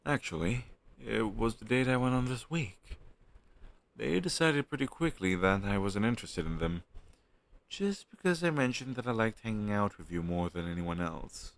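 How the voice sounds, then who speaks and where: A man performs a flustered young guy with a very low, gravelly voice in an intimate audio roleplay. His intonation swings widely as he talks around a crush on his best friend. He is halting and sheepish, like someone in a private conversation. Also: expressive. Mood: flustered, confessional.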